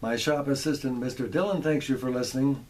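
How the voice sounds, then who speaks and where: Mellow man presenting a hobby demonstration. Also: medium pitch, fairly even intonation, fairly quick. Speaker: host of a radio demonstration. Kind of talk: explanatory hobbyist product tour.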